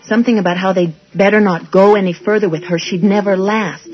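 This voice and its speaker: An actress playing an earnest, suspicious young wife and dental assistant, speaking insistently in a radio drama. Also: female voice, mid-range voice, steady tone, a little fast, soft background music, natural voice. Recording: dull lo-fi old radio broadcast.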